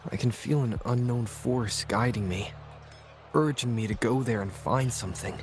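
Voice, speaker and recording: A calm young hero, voiced by a man, speaking in a measured, earnest mid-range voice. Faint game music plays behind him. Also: mid-range voice, close to an everyday voice. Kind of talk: video game voice acting.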